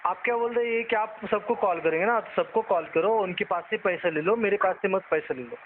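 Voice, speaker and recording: A man's voice: sits high, brisk. A man with an Indian accent arguing defiantly on a phone call as a customer, often repeating himself. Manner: defiant, argumentative, repetitive. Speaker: bank customer who owes money. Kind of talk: heated phone argument. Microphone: phone line. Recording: noisy, tinny phone call recording.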